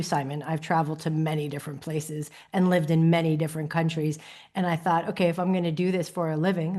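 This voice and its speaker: A woman in her thirties from New York City with a New York accent, telling her story as an interview guest in an expressive, conversational way. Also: sits low. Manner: expressive storyteller, lively rises and laughs. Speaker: podcast guest, creative studio founder. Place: lives in Copenhagen.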